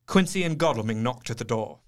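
An actor playing a sober, compassionate gentleman doctor in dramatic scripted narration. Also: mid-range voice, animated, a little fast, close to an everyday voice. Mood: solemn, sympathetic. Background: music.

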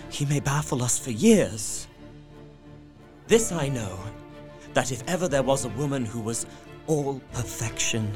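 A man's voice: medium pitch, lightly acted. An actor playing a devoted, anxious young husband narrating his journal in an audio drama, earnest and heartfelt.

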